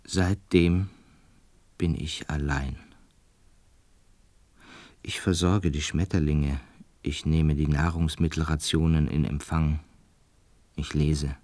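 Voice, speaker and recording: An actor playing a lonely, weary older lodger in a German radio play, melancholic and halting, with a husky voice that often sinks toward a whisper. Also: male voice, rumbling low, fairly even intonation, darkish tone, dry recording. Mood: melancholic, resigned.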